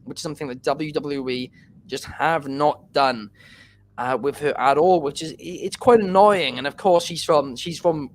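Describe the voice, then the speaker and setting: A man's voice: on the high side, moderate pace, slight background noise. Man hosting a chatty podcast. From Wales. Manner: opinionated, reads viewer questions aloud. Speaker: podcast host. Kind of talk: casual podcast chat.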